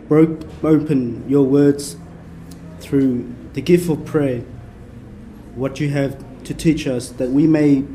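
A soft-spoken man from Vietnam, a Capuchin priest, leading a prayer workshop for a small group in a casual way and leaning on his notes. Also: medium pitch, a little hiss or hum, poor audio. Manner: soft-spoken, relies on notes, chatty asides. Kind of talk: informal prayer workshop talk.

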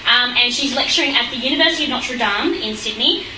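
A woman emcees a monthly church talk, speaking in a friendly way and often pausing on um. Her voice is fairly high. She is picked up by a distant mic in a roomy hall, with noise underneath. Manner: friendly, with frequent ums. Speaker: event MC. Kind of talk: introducing a speaker. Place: event hall with audience. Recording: echoey room, far mic, noisy.

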